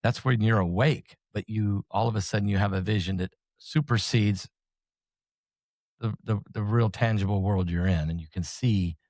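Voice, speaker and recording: A man's voice: on the low side, a little mellow, no room sound. Man host asking rambling questions. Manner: rambling questions, quick bursts of speech. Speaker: podcast host. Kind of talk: casual interview questions.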